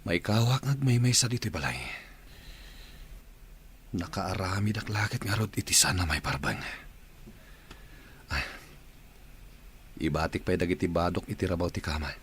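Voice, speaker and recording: Easygoing low-voiced husband. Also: male voice, normal speed, long pauses, fairly bright tone, slight background noise. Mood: preoccupied. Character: easygoing married man chatting with his buddy. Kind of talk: radio drama acting.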